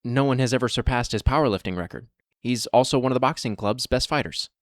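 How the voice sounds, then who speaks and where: An actor playing a practical vault security officer who speaks in a calm, matter-of-fact way. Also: male voice, medium pitch, fast, no room sound, studio quality, natural voice. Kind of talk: audio drama acting.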